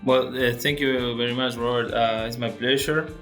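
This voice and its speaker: A young man from Argentina, a guest researcher explaining his work in an interview, speaking haltingly with many restarts and fillers. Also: medium pitch, steady tone, fairly bright tone, faint music behind.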